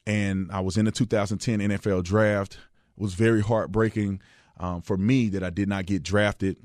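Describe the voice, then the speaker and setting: A man in his thirties from Texas, hosting his own radio show in a relaxed, chatty storytelling way with frequent restarts. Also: lowish voice, moderate pace, dry recording, studio quality. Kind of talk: casual radio monologue.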